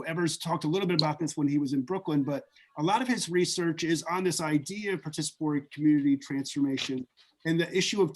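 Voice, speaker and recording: A man moderates an online class in an easygoing, chatty manner. He talks over a video call and fills his sentences with ums and you-knows. His voice sits slightly above the middle range. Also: a little high. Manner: relaxed, conversational, uses filler words. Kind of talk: casual lecture introduction.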